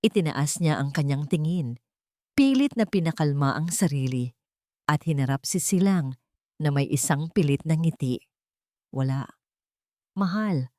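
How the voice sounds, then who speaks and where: A woman reads a scripted love story as narrator, in a measured, dramatic storytelling manner. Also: deep voice, expressive, dark tone, no echo, studio quality.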